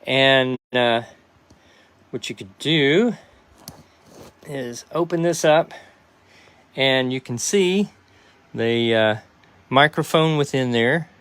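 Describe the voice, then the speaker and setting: A man's voice: mid-range voice, slow, long pauses, fairly bright tone, smooth, no echo. A man chatting casually to viewers in a rambling, folksy, hesitant way as a podcast host. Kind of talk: casual behind-the-scenes chat to viewers.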